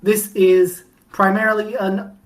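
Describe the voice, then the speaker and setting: A woman's voice: mid-range voice, little pitch movement, measured pace. A woman guest on a podcast, chatting playfully and with enthusiasm about her fan game. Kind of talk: casual podcast chat.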